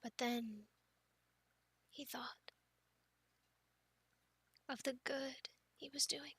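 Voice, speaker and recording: Young woman, high-pitched, crisp bright tone, girlish and playful, ASMR roleplay, close dry mic. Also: dry recording. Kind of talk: ASMR roleplay, whispered storytelling and casual chat.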